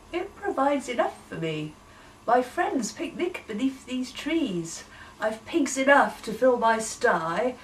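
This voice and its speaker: A woman voicing a calm, contented farmer who reasons gently and firmly. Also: on the high side, distant mic, slight background noise, low-quality recording.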